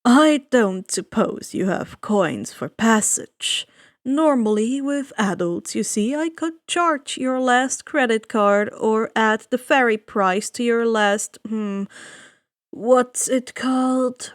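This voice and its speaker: A woman reading a story aloud and voicing a suave, sinister male ferryman of the dead with a smooth, slow, drawn-out delivery. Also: fairly high pitch, dry recording, studio quality. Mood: dryly polite. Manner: slow, drawn-out, dryly polite.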